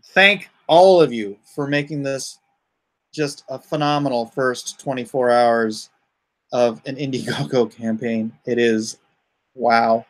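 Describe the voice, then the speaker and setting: A man with a mid-range voice hosts a casual livestream. He talks slowly and haltingly, thinking out loud. His manner is informal, with excitable exclamations as he addresses his viewers. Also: medium pitch. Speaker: livestream host and comic artist. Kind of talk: casual livestream chat, reacting and thanking viewers.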